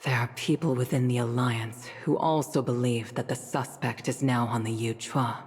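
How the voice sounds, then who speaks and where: A mature woman of rank in a video game, stern yet caring. Her voice is deep for a woman and breathy at the edges. She speaks with solemn, deliberate restraint, wrapped in long hall reverb. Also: steady tone, darkish tone. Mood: grave, supportive. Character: stern but caring mature female official, dignified and restrained. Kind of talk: scripted video game cutscene acting.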